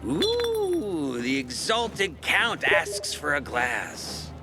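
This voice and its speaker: A menacing, sarcastic tough from a survivor video game. He has a high voice and takes his time over each taunt. Music plays clearly underneath. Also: wildly swooping pitch, very slow, full character voice. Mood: mocking. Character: foul-mouthed, mocking, menacing male tough in a survivor settlement. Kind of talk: theatrical game character acting. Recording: music behind the voice.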